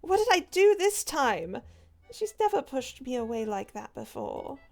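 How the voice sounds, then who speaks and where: A woman's voice: high voice, very animated, measured pace, gravelly, darkish tone, full character voice. A woman voicing an anxious, devoted mother, pleading and worried.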